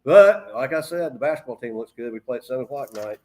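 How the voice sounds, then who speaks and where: Fast-talking, rambling man. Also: medium pitch, animated, a little mellow. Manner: rambling, informal. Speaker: sports talk show host. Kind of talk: casual chat.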